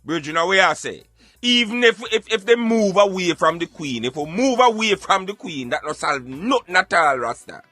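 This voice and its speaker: A man's voice: high voice, big pitch swings, normal speed, sharp tone, soft background music. A man with a Jamaican accent giving emphatic, preachy, rhetorical opinions in an impassioned talk style. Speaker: radio show host.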